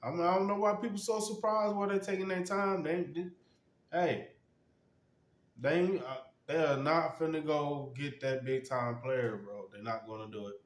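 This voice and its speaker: A man's voice: on the high side, fairly even intonation, moderate pace. A man hosting his fan channel, talking loosely and slangily with plenty of swearing, sniffly from a cold. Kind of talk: casual sports commentary. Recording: roomy sound with faint music.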